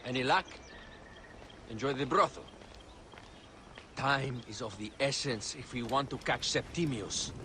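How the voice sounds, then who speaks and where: An actor playing a brisk, pressing informant in a video game set in ancient Egypt. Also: male voice, mid-range voice, pushed and bright, a little hiss or hum. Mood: urgent.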